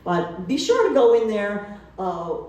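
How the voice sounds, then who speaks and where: A woman's voice: medium pitch, sing-song, a little slow, a little mellow. A woman with a New York accent talking casually at a book event. She rambles, with many fillers and restarts. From New York City. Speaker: author and photographer. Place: event room. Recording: roomy, distant from the mic.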